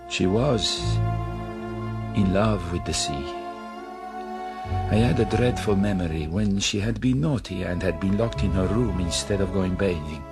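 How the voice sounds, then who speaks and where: A man acting in a radio drama as a grave, anguished crime-boss father, speaking in a measured, formal way. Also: deep voice. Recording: orchestral music under the voice.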